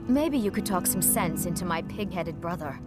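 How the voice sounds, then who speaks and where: A woman's voice: lowish voice, animated, moderate pace, over loud music, natural voice. A woman playing a spirited, teasing young woman in a video game, pert and conversational. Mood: defiant. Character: spirited young shopkeeper's sister, headstrong and teasing. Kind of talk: video game character acting.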